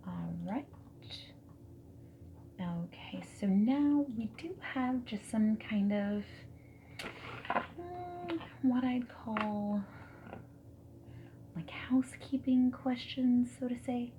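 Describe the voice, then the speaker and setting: A woman playing a friendly, whimsical dating-service consultant in a gentle ASMR roleplay, soft-spoken and breathy, often slipping into a whisper. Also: on the high side, expressive, highs cut off, voice pushed up, exaggerated voice. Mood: friendly, attentive. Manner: gentle, reassuring, chatty, unhurried. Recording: faint background hiss, keyboard typing. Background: mechanical keyboard typing.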